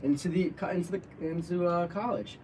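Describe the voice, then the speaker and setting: A man co-hosting a casual sports debate, talking excitedly and restarting his sentences as he hypes players. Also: sits high, brisk, recorded from a distance, a little hiss or hum. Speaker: co-host.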